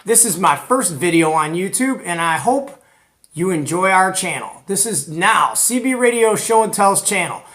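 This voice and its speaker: An American man hosting a hobby video in a casual, animated, colloquial way, retelling conversations as he goes. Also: high-pitched, moderate pace. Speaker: host of a CB radio show. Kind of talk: casual hobby vlog presenting.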